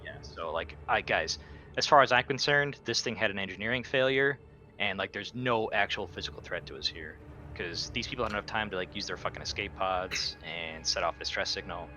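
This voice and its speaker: Blunt, lowish-voiced man at a gaming session. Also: fairly quick, sharp tone, slight background noise. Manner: blunt, sweary, bossy. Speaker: player as the crew captain. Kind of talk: casual in-character tabletop roleplay talk.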